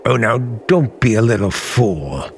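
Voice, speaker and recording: An actor playing a charming but menacing uncle in old-time radio drama, with smooth, hesitant, genial talk that masks a threat. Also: male voice, fairly low pitch, animated, a little slow, slight background noise. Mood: coldly menacing. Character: charming, menacing uncle hiding a dark secret.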